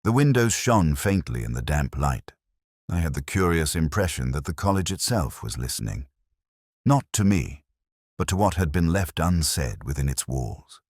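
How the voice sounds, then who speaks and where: A man reading a scripted first-person mystery story in a measured, solemn, literary manner. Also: rumbling low, big pitch swings, a little mellow, no room sound, clean studio sound. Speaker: narrator.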